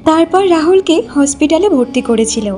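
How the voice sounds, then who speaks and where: A woman's voice: sits high, expressive, fairly quick, mellow, soft background music. A woman reading scripted narration for a cartoon folk-style story.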